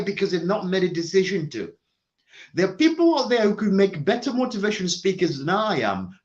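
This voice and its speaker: A man delivers a motivational talk in a high, animated voice that swings up and down as he drives each point home. He leans on repetition and says key lines twice. The recording sounds dull and lo-fi. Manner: emphatic, repeats key phrases. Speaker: motivational speaker.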